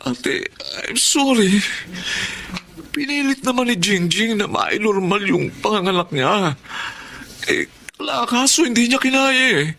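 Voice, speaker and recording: An actor playing a desperate, apologetic younger brother pleading in a Tagalog radio drama. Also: male voice, high-pitched, animated, a little slow, creaky, sharp tone, slight background noise, full character voice.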